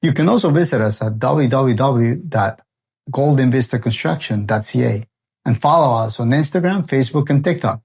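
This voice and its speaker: Husky-voiced man from El Salvador. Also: mid-range voice, expressive, normal speed, darkish tone. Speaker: renovation company founder. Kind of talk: scripted channel introduction.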